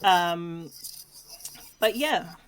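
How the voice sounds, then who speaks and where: Slow-talking woman, casual streamer. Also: on the low side, expressive, slight background noise. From Sweden. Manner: informal, giggly, chatty. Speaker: co-host of a livestream talk show. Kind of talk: casual livestream chat.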